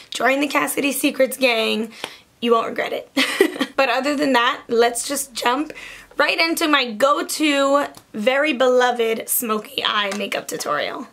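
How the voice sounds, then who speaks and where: Hoarse, raspy young woman, bright tone. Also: on the high side. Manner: chatty and upbeat, many "like" fillers. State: hoarse from lost voice. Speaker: beauty vlogger. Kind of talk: casual makeup tutorial chat.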